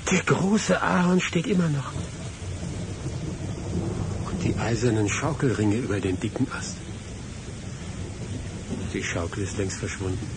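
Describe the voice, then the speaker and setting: A man's voice: on the low side, heavy background noise. An actor playing a brooding, agitated male lawyer in a radio play, muttering to himself and breaking into irritated outbursts. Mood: excited recognition. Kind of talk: radio drama monologue, murmured soliloquy and outbursts.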